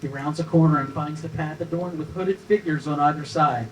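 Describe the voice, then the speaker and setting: A man's voice: on the high side, steady tone, brisk. A man reading scripted stage directions as narrator at a live table read, recorded from a distance with some noise.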